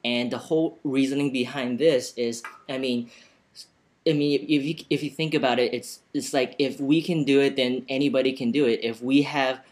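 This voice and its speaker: A man's voice: medium pitch, steady tone, normal speed, a little mellow. Earnest man promoting a seminar. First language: Chinese. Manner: earnest, many restarts and fillers. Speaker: seminar co-host. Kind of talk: casual video-call promo chat. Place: video call.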